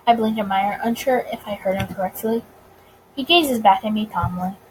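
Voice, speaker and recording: A woman reading a visual novel aloud as a let's player, expressively and with dramatic pauses. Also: medium pitch, slight background noise, rough recording. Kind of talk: expressive story reading with reactions.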